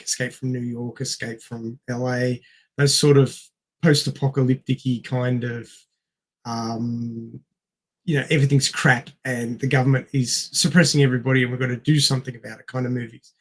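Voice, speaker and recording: A man with a strained voice co-hosting a casual movie discussion, halting as he thinks aloud. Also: medium pitch. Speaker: film podcast host. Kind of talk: casual movie review chat.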